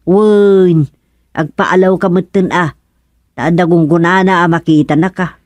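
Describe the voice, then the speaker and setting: A woman's voice: on the low side, steady tone, unhurried, a little mellow, no echo, lightly acted. A woman playing a talkative, fussing village woman in a radio comedy-drama, with an Ilocano accent and an exclamatory, questioning way of talking. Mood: animated, urgent.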